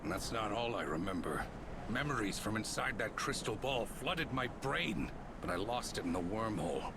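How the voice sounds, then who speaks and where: An actor playing a gruff, folksy man with a soft heart in a video game cutscene, talking in a colloquial way. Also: lowish voice, sing-song, moderate pace, background noise. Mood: recounting. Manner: colloquial, folksy drawl phrasing. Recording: loud music behind the voice. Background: loud game music.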